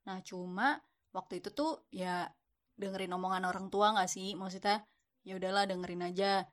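A woman's voice: medium pitch, moderate pace. A young woman, a student chatting casually about her story and padding it with slangy fillers. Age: young adult. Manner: chatty, slangy Jakarta speech with many fillers. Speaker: university student.

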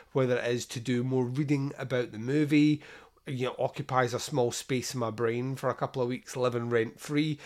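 Male podcast host, mid-range voice, casual and emphatic solo talk with a conversational ramble. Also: medium pitch. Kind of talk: casual solo talk introducing a film countdown.

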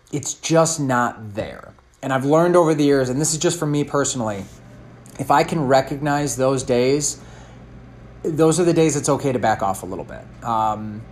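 A man in his thirties, a fitness coach, answering a question in an earnest, thoughtful way, often restarting his sentences. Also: mid-range voice. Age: 30s.

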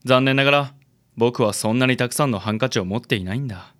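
A man playing a suave, gentlemanly ladies' man in his late thirties, theatrical and flowery, in a radio drama. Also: mid-range voice, fast, lightly acted. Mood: romantic boasting. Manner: smooth-talking, theatrical, gentlemanly. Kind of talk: Japanese radio drama acting.

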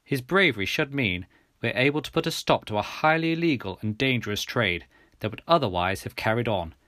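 Man voicing a stern, formal English gentleman official. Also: medium pitch, expressive, no echo, studio quality, natural voice. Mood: grave, approving. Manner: formal, measured, authoritative.

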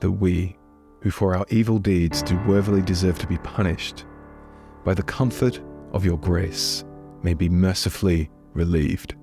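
Hoarse, deep man leading prayer. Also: steady tone, moderate pace, over music. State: hoarse from a head cold. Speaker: church minister hosting a prayer podcast. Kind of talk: leading liturgical prayer and spoken reflection.

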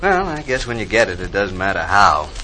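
Bright-voiced, earnest WWII soldier. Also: male voice, low voice, sing-song, brisk, slight background noise, exaggerated voice, low-quality recording. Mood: somber, matter-of-fact. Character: soldiers of a WWII army, earnest, plain-spoken young men. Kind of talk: 1940s radio drama acting. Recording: old 1940s radio broadcast recording.

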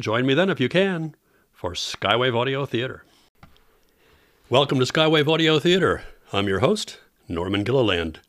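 A man's voice: lowish voice, a bit bright, no echo. A man hosting a radio program with scripted narration, on a close studio mic.

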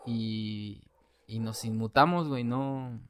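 A young man from Mexico with a Mexican Spanish accent, talking in a casual chat, slangy and quick to laugh, then slow and thoughtful. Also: medium pitch, clean tone. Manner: slangy, laughs often, turns reflective and slow when talking about his breakup.

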